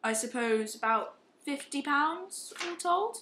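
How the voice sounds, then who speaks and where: A woman acting a guilt-ridden, hard-drinking young upper-class man in an amateur radio play, confessing in a halting, ashamed way. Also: high-pitched, animated, sharp tone, full character voice. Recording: roomy, echoing amateur recording.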